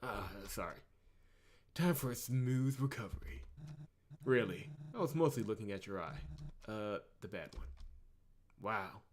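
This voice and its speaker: A man's voice: fairly low pitch, expressive, unhurried, pauses often, slight background noise. Man reading weary, awkward young male fighter. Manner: self-deprecating, hesitant, grumbling. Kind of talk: visual novel character reading.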